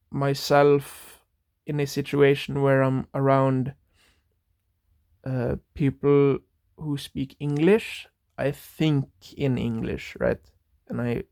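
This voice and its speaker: A man's voice: medium pitch, vocal fry, a little mellow, no echo, clean studio sound. A young man, thinking aloud in a rambling solo monologue that drifts into tangents. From Norway. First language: Norwegian. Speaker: podcast host.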